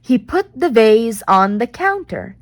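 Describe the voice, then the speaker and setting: A woman reads a children's story aloud in a high, very lively voice. She takes her time over each phrase and adds playful, expressive touches for young listeners. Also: no room sound, studio quality. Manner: animated, deliberate, with playful reactions. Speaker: storyteller reading a picture book aloud.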